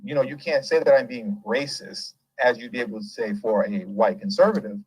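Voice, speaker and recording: A man of middle pitch hosts a casual commentary show, animated and emphatic. He laughs easily and drifts off on tangents before circling back. The sound is dry and close. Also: a little fast, husky, no room sound, rough recording. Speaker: host.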